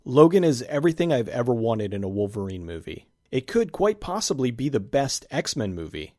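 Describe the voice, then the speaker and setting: Raspy-voiced man reviewing a movie. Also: medium pitch, sing-song, normal speed, warm tone, no room sound, studio quality. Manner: enthusiastic, conversational, casual swearing. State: raspy, nearly losing his voice. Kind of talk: casual spoken movie review.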